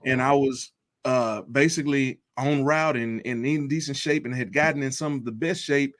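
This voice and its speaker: A man with a mid-pitched voice gives personal testimony as an interview guest. His delivery is earnest and deliberate, with a reflective way of laying out his thoughts. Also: a bit bright. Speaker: guest telling his recovery story.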